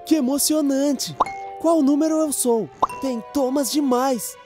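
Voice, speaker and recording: A woman's voice: high voice, unhurried. A woman voicing a cheerful, earnest young male train engine in a children's cartoon, with a Brazilian accent. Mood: excited, cheerful. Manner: wide-eyed, earnest, exclamatory. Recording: background music under the voice.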